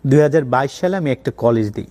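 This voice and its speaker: A man's voice: medium pitch, lively intonation, fast talker, mellow. A man with a Bangladeshi accent telling his own story conversationally as an interview guest, mixing in English words. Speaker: interview guest, college owner.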